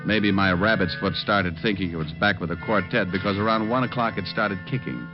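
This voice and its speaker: A man's voice: fairly low pitch, fairly quick, highs cut off, natural voice. An American man playing a sardonic, cool-headed private detective in 1940s radio drama style, with dry, flippant delivery. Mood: wry narration. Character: wisecracking, cool-headed male private detective narrating his case. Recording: band-limited vintage radio recording with music.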